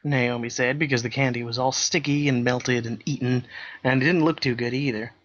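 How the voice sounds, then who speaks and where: A man narrating fan fiction in a dry, deadpan comedic way, clearing his throat often. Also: mid-range voice, a little fast, slight background noise. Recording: dull lo-fi sound.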